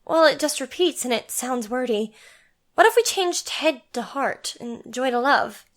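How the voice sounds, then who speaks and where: Casting: young opera ingenue played by a woman, high-pitched, earnest and questioning, for an audio drama. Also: animated, measured pace. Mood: earnest, helpful. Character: young female opera singer, tentative yet curious. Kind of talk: amateur audio drama acting.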